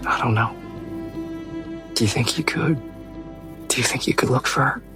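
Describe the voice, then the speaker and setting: Woman doing a gruff tired old uncle, real low n gravelly, music behind. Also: moderate pace, a bit bright. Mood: weary, resolute. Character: gruff, weary older man, protective uncle.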